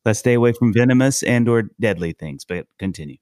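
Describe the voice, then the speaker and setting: A man's voice: mid-range voice, brisk, darkish tone, dry recording, clean studio sound. A man hosting a podcast, musing aloud in a playful, self-deprecating way. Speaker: podcast host. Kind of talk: casual podcast chat.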